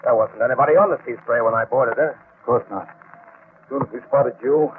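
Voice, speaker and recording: An actor playing a murderous, defensive sailor and cornered schemer in 1940s radio drama style. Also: male voice, mid-range voice, brisk, natural voice. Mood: cornered, confessing. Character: murderous male sailor, cornered schemer confessing to his crimes. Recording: muffled, noisy vintage radio recording.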